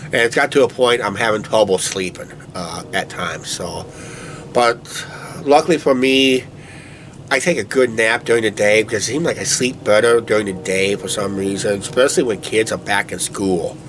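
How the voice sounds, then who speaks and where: A man's voice: on the low side, moderate pace, fairly bright tone, a little hiss or hum. A man with a stuffy, blocked nose chatting casually over a beer at night outside by a shed, rambling with lots of "uh, basically" fillers into a camera on a tripod. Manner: meandering, filler-heavy, "uh, basically" talk. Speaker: co-host of a vlog channel. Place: outdoors at night near a shed.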